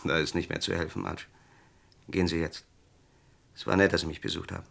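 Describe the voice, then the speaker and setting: An actor playing an anxious, desperate man accused of murder in a German radio crime drama, with a gravelly, husky voice. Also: low voice, fairly even intonation, a little fast, fairly bright tone, heavily acted. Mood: resigned.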